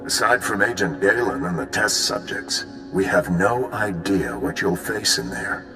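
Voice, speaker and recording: An actor playing a decisive, businesslike spy chief briefing an agent in a video game. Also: male voice, on the low side, animated, a little slow, in a large hall, over music. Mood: brisk, urgent. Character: gruff, brisk male spy chief giving mission briefings. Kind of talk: video game cutscene acting.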